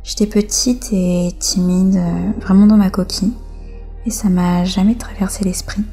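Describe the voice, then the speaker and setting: A woman from France giving personal testimony as an interview guest, speaking reflectively with hesitant pauses. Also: mid-range voice, little pitch movement, dark tone, husky, faint music behind.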